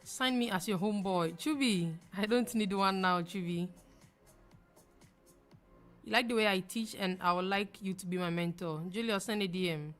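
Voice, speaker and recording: A woman's voice: mid-range voice, normal speed, soft background music. Chatty Ghanaian woman hosting a live stream. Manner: chatty, emphatic, repeats phrases, laughs easily. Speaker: livestream trading host. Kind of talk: live-stream chat answering viewers.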